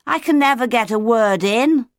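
A woman voicing a curious young male penguin chick in a storybook, squeaky and insistent, often yelling his questions. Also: big pitch swings, a little slow, no room sound, clean studio sound. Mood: complaining.

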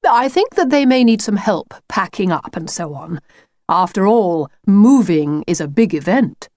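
A woman's voice: mid-range voice, expressive, a little slow, darkish tone, dry recording, clean studio sound, lightly acted. A woman voicing an effusive, fussy male talking wolf in a children's story, grandiose and chummy. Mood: cheerful, chatty. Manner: grandiose, fussy, old-fashioned endearments. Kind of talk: children's storybook character reading.

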